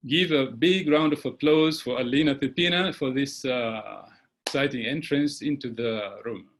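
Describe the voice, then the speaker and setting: Casting: male webinar host, mid pitch, urging, hesitant fillers, video-call mic. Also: a bit bright. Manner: pauses with frequent "uh", urging tone. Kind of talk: motivational webinar hosting.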